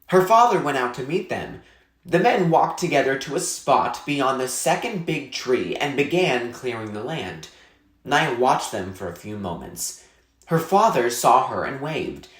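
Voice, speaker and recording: A male narrator reads a children's novel with measured, clearly phrased delivery. His mid-pitched voice moves up and down in a lively way, and the room adds a noticeable echo. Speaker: read-aloud narrator. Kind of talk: expressive children's novel read aloud.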